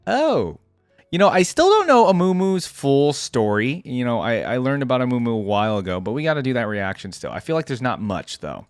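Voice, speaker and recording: A woman's voice: rumbling low, very animated, fairly quick, dry recording, clean studio sound, faint music behind. A woman hosting a reaction video, giving casual, chatty commentary and musing as she goes.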